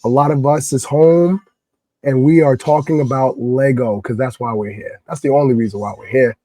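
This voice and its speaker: A man hosting a podcast, hoarse and raspy from a cold, chatting in a laid-back slangy way. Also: medium pitch, steady tone, normal speed, mellow, dry recording, low-quality recording. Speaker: podcast host. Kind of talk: casual chat.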